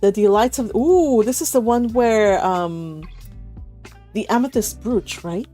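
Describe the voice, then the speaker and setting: A woman's voice: fairly high pitch, slow pace, mellow, faint music behind. A woman hosting an online read-along, chatting casually and giggly, with rambling anecdotes. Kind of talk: casual group call chat. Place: online voice call.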